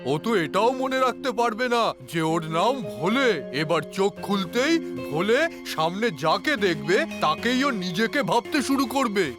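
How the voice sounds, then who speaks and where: A man's voice: high voice, expressive, normal speed. A man voicing an explanatory doctor in a children's cartoon, with a theatrical delivery. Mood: matter-of-fact. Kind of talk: Bengali children's cartoon dubbing. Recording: music behind the voice.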